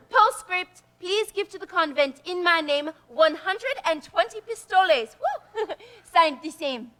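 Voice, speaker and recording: An excitable young noblewoman, with a very high, bright voice. She speaks in breathless, dramatic exclamations, as in a period stage play. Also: measured pace, hardly any pauses, full character voice. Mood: flustered. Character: emotional young noblewoman reading a letter.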